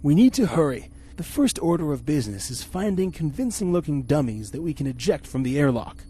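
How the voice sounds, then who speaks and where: An actor playing a vain, bombastic, incompetent spaceship captain in a campy 1950s-style space radio serial. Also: male voice, a little high, big pitch swings, fairly quick, warm tone, a little hiss or hum. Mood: brisk, bossy. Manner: pompous, theatrical.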